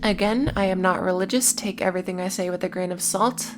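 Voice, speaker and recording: A woman's voice: lowish voice, fairly quick. A woman narrating a scripted video essay in an informal way, with casual, wry asides amid plain recapping. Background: music.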